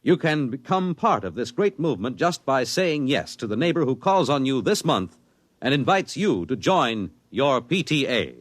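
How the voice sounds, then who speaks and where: A male radio announcer with a middle-pitched, lively voice in a dry studio sound. His scripted delivery is formal, measured and emphatic, in 1950s broadcast style. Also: dry recording.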